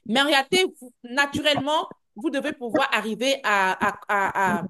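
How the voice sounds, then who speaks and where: Woman coach with a slightly high voice. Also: brisk, sharp tone. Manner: repeats words, restarts sentences, reassuring. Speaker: health and weight-loss coach. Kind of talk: live group coaching call.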